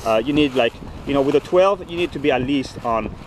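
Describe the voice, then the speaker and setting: A man's voice: medium pitch, animated, a little fast, rough recording. A man with a Greek accent, chatting and asking questions in a casual outdoor interview, laughing often and restarting his sentences. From Greece. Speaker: interviewer and kite maker. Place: windy beach outdoors. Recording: clear wind and water noise.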